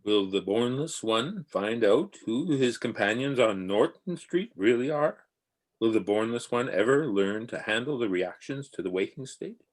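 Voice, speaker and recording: A man's voice: mid-range voice, expressive, unhurried. A man narrating a radio serial in a dramatic, scripted announcer style.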